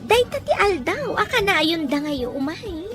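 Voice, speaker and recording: Woman playing a squeaky, bubbly young waitress. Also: very animated, a little slow, exaggerated voice. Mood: playful. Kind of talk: amateur audio drama acting. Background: music.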